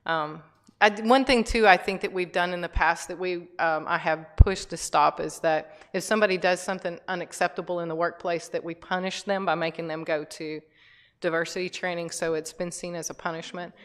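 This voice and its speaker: A woman's voice: fairly low pitch, steady tone, brisk, a bit bright. A middle-aged woman giving a conversational talk to a church group, with many fillers and restarts. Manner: conversational, frequent fillers and restarts, laughs lightly. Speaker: guest speaker. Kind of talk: informal talk to a church audience. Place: church hall.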